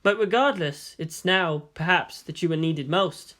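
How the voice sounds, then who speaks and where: A man's voice: fairly high pitch, unhurried, fairly bright tone. A man voicing an earnest, polite, troubled teenage boy who questions his teacher deferentially in a story reading.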